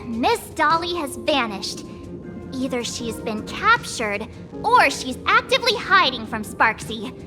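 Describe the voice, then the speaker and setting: A woman's voice: high-pitched, very animated, measured pace. A woman voicing a perky, dramatic and determined teenage girl in an anime-style game, with girlish, emphatic delivery. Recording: music behind the voice. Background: music.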